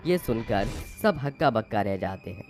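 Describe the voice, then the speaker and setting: A man reads a scripted moral tale as narrator. His voice sits fairly low, and soft music plays underneath. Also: sing-song, moderate pace. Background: faint music.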